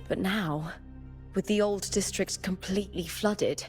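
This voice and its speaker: A woman voicing a solemn, resolute warrior heroine in a video game, speaking in a measured, earnest, formal way. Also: mid-range voice, lightly acted. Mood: grave, observant. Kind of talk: scripted video game voice acting. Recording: long reverb, game music behind.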